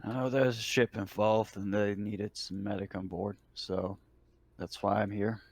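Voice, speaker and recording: A man playing a gruff, world-weary military medic in a tabletop role-playing game, talking in a dry, laconic way. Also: on the low side, flat delivery, measured pace. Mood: guarded. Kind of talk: improvised tabletop role-play.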